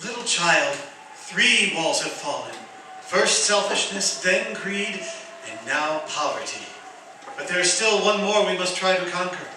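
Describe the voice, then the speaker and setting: Casting: actor as solemn goodwill spirit, radio drama, declamatory, bright high voice. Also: male voice, animated, unhurried, exaggerated voice. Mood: benevolent. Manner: declamatory, moralizing. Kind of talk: radio play acting. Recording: echoey hall from far off, faint noise.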